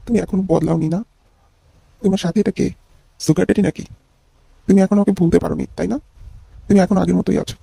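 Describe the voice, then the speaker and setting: A man voicing a bitter young jilted ex-boyfriend with a high, husky voice and a needling manner in an amateur comedy cartoon. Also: fairly even intonation, fast, long pauses, warm tone, no room sound, full character voice. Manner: taunting, needling.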